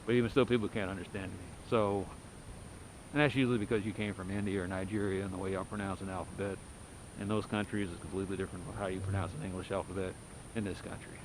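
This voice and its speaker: A middle-aged Texas man with a low voice and a light twang rambles bluntly as he walks. He is recorded outdoors on a body-worn mic. Cars and street rumble come through clearly behind him. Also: flat delivery, fast talker. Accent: Texas, lightly softened. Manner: blunt, wry, talks while walking. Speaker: walking vlogger. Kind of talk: rambling night-walk monologue. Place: residential street at night, outdoors. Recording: street noise and passing cars.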